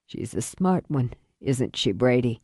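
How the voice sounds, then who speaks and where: Woman acting weary dying father, low-pitched. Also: lively intonation, a little slow, a little mellow, slightly rough, no echo, studio quality, heavily acted. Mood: fading, fond. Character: dying middle-aged father, weary, regretful, wryly tough. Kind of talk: audiobook thriller character acting.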